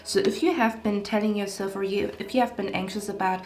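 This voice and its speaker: A woman's voice: medium pitch, fairly even intonation, a little fast, a little mellow. A woman giving a casual pick-a-card tarot reading to camera, rambling with many fillers and asking "okay?" after her phrases. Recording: echoey room.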